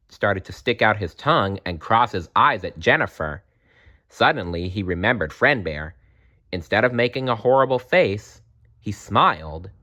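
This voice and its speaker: A man with a fairly deep voice reads a children's story aloud. His narration is expressive and lively in intonation, and he takes it very slowly, giving each sentence room. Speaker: storybook reader.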